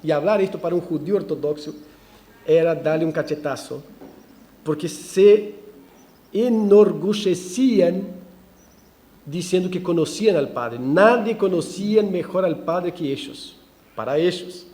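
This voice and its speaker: High, mellow-voiced preaching man. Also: unhurried. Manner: animated preaching with frequent restarts and ironic asides. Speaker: pastor. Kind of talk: expository sermon. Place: church.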